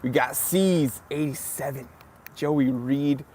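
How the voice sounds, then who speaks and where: A man's voice: mid-range voice, slow pace, darkish tone, slight background noise. Chatty young man hosting a live Q&A. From United States. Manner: chatty and joking, greets viewers by name. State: slightly wheezy, recovering from a cough. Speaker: livestream host. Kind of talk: casual live Q&A chat, reading comments.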